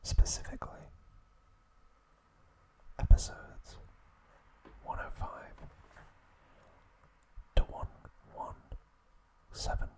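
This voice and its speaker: A man narrates in a soft ASMR whisper, close on the microphone. His delivery is very slow and deliberate, broken into short, careful phrases. He sounds like a hushed host guiding a listener through trivia. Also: rough recording.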